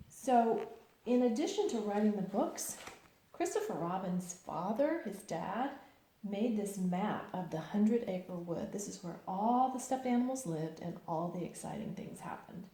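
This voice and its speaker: Expressive woman reading aloud in echoey room. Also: on the low side. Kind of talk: expressive children's storybook narration.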